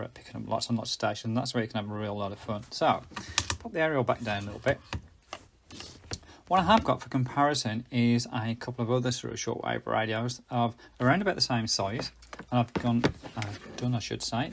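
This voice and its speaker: A man's voice: mid-range voice, creaky, slight background noise. A British man giving a casual, unscripted product review as host, chatty and rambling, often correcting himself. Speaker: host reviewing budget radios.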